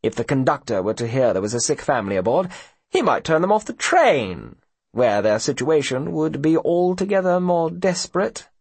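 A man's voice: on the high side, lively intonation, moderate pace, vocal fry, darkish tone, breathy edge, no echo, voice pushed up, full character voice. A man voicing a smug, villainous profiteer with a mocking, pompous and falsely genteel manner. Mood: oily menace. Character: sneering villainous profiteer, smug, mock-genteel older gentleman.

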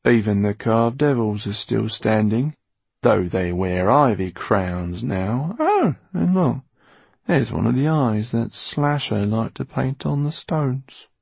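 Male henchman for a storybook, acted by a man reading aloud: gruff, sullen, gravel-throated growler. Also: medium pitch, very animated, slow, a little mellow, dry recording, voice pushed up, exaggerated voice. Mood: sullen, defiant.

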